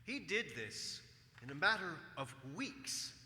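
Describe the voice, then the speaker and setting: A brusque, tight-fisted boss acted by a man in a staged musical. His voice is very forceful and cutting, with lively swings in pitch. It carries through an echoey theatre hall. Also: slow pace, heavily acted. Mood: curt, irritated.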